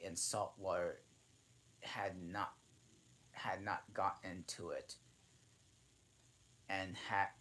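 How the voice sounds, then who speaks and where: Slow, halting man reading a storybook. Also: low voice, a bit bright. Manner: halting, restarting and correcting himself mid-sentence. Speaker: channel host reading aloud. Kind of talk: reading a children's classic aloud.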